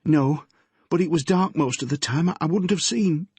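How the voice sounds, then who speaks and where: Audiobook figure: male narrator voicing a scared teenage girl, pitched high, breathless, husky. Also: normal speed, darkish tone, dry recording. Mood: anxious. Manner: shaky, pleading, breathless. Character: frightened tearful teenage girl, a policeman's daughter.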